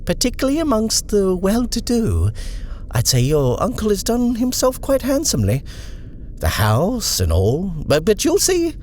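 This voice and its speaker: A man voicing a brisk, brusque housekeeper woman with a clipped, barking manner. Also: sits high, huge pitch swings, darkish tone, a little hiss or hum, pitched above the performer's own voice. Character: brisk, brusque middle-aged female housekeeper-driver.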